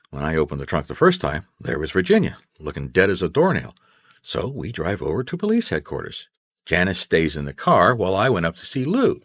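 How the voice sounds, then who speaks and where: Radio mystery lead: a resourceful, wry detective, deep and animated, colloquial and hard-boiled. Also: male voice, no echo, full character voice. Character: wry, resourceful male private detective narrating his case. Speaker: lead actor and narrator. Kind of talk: noir radio drama acting and first-person narration.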